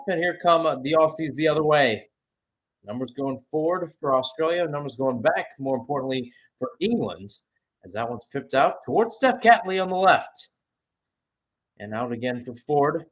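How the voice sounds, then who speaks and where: A man's voice: medium pitch, low-quality recording. Male football play-by-play commentator. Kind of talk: live radio-style sports commentary. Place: commentating remotely, not at the stadium.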